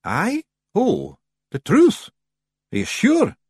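A man voicing an excitable little male fairy clan leader with a Scottish accent, nervous and boastful, in an audiobook. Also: sits high, normal speed, slightly rough, no echo, voice pushed up, full character voice. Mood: nervous, evasive. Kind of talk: audiobook character voice.

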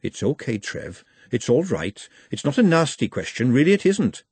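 A man's voice: mid-range voice, sing-song, normal speed, a little mellow, slightly rough, no room sound. A man voicing a forthright, motherly young working woman in a storybook reading. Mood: soothing. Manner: forthright, earnest.

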